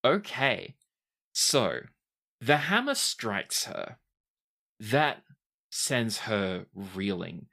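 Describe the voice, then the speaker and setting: A game master with a very low voice and a slow pace. The pitch moves a lot while giving vivid, dramatic scene narration, then drops into casual rulings for the players. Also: female voice, big pitch swings, gravelly, fairly bright tone. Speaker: game master of a tabletop RPG podcast.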